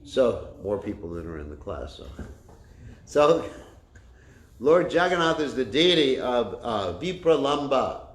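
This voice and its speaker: A man with a loud voice giving a religious class, telling a story with many fillers and restarts, recorded without a microphone. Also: mid-range voice, sing-song, a little slow. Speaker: spiritual teacher. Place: class room with a kitchen nearby. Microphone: no microphone, room recording. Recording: echoey room.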